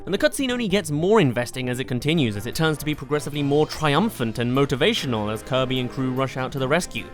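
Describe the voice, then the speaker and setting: A narrator presenting a scripted countdown review with emphatic, expressive delivery. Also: mid-range voice, fast, soft background music. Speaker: video game reviewer, host.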